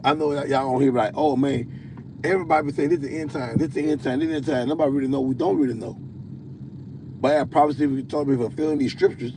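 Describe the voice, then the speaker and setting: A man with a deep voice preaching on a livestream. He reads scripture slowly, stumbling and repeating words. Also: noisy background. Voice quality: self-described deep voice. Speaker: self-styled prophet hosting a livestream.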